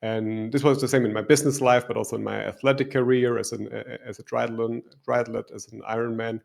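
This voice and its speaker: Middle-aged man, german accent, gravelly voice, thoughtful and hesitant, interview guest. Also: medium pitch, level tone, fairly quick. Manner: reflective, hesitant, with restarts and "um"s. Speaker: guest, life coach. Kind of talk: interview answers.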